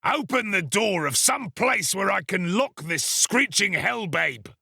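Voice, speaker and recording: A man's voice: high-pitched, lively intonation, a little slow, creaky, bright, forceful tone, breathy edge, no room sound, clean studio sound, voice pushed up, full character voice. A man voicing a brutal, desperate murderer who speaks fiercely and menacingly, in an audiobook reading. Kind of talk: audiobook character voice.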